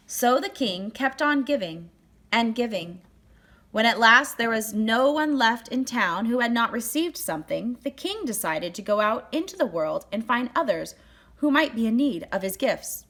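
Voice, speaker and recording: A woman reading a children's picture book aloud as narrator, with deliberate, expressive phrasing. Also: fairly high pitch. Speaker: storybook reader.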